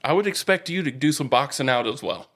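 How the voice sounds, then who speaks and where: A man's voice: mid-range voice, animated, fast, a bit bright. American man chatting casually about sports. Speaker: sports podcast co-host.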